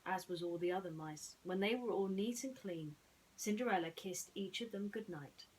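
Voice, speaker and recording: A woman hosting and narrating bedtime stories in a gentle storytelling style. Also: medium pitch, moderate pace, breathy edge, poor audio.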